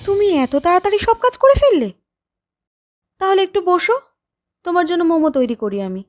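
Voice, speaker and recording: Woman doing a firm young village girl, bossy scolding, highish voice, muffled lo-fi audio. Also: very animated, moderate pace, soft background music. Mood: bargaining. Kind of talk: cartoon voice acting.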